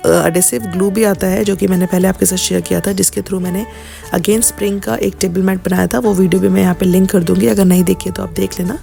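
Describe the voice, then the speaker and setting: Casting: female lifestyle vlogger, relaxed mellow timbre, casual chatty voice-over with English mixed in, faint music bed. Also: medium pitch, fairly quick, creaky. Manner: chatty, mixes English words into speech. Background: background music.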